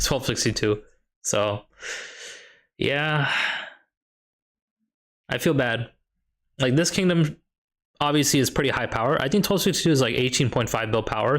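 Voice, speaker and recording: A young American man with a light Chicago accent streams a strategy game, talking in a relaxed, mid-pitched voice. He muses half to himself and reads out viewer questions as they come in. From United States. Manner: thinks aloud, reads and answers chat questions. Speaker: streamer and high school math teacher. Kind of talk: casual live-stream chat while gaming.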